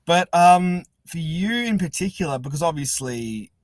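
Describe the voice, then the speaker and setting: An Australian man hosting a podcast in casual interview chat, halting and repeating words as he goes. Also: on the high side, lively intonation, no room sound, clean studio sound. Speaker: podcast host.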